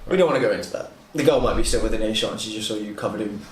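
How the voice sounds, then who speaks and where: A man's voice: fairly low pitch, very fast, slight background noise. A man playing a tabletop game, sounding dry and exasperated with the other players. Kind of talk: casual tabletop play. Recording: echoey room, a bit distant.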